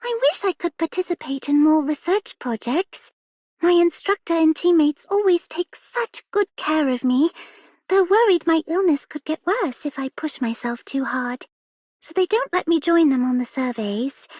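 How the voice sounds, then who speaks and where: A very high, youthful girl's voice for a video game. She is earnest and eager, like a young trainee. The recording is dry and close. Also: animated, moderate pace, a little mellow, no echo. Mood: wistful, earnest. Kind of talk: scripted game character voice lines.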